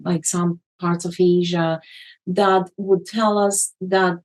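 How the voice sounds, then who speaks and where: Slow-speaking woman guest. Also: lowish voice, little pitch movement. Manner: deliberate, with many ums and uhs. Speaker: guest, magazine editor. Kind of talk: interview answers.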